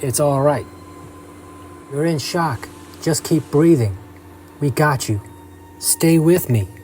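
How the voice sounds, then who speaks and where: A man's voice: on the high side, slow, darkish tone, a little hiss or hum. A man voicing an urgent, businesslike recruiting agent in a motion comic, speaking insistently and directly. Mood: urgent, reassuring. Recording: music under the voice.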